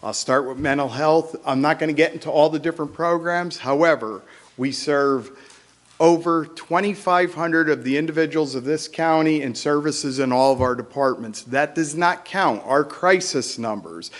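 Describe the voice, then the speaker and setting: A loud man in his fifties runs through his department's services in a blunt, brisk way at a county public meeting. His voice sits a little high, and he stands back from the microphone. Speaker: county behavioral health administrator. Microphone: speaking away from the microphone.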